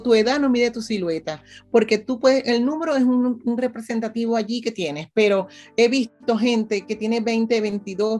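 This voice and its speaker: Venezuelan lady, about fifty, chatty interview guest, keeps asking "ves?", soft music way back behind her. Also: mid-range voice. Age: 50s. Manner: animated, often checks in with "¿ves?". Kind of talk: casual conversational interview.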